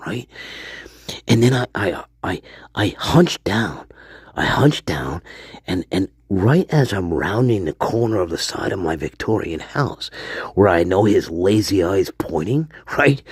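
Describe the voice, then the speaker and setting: A man voicing a crude, foul-mouthed storyteller named Stanley, rambling and swearing, often dropping into a hoarse whisper. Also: sits low, no room sound, studio quality, pitched below the performer's own voice, exaggerated voice. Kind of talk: improvised character monologue.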